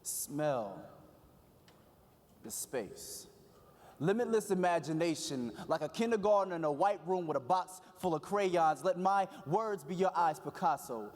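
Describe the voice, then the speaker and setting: Man in his twenties, slightly high voice, measured rhythmic poetry, reverberant hall. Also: lively intonation. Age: 20s. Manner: measured, rhythmic poetic delivery. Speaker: youth spoken word poet. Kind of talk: spoken word performance. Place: large event hall, live audience.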